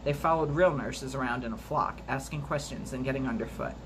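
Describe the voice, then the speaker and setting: A woman reading a memoir aloud for a storytime video at a steady, measured pace, now and then stumbling and restarting a word. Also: rumbling low, noisy background. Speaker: storytime reader.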